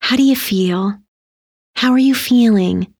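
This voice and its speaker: A woman voicing a gentle, soothing mother who explains softly and hesitantly in an audiobook story. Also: fairly high pitch, measured pace, no room sound, studio quality, pitched above the performer's own voice. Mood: soft, explaining.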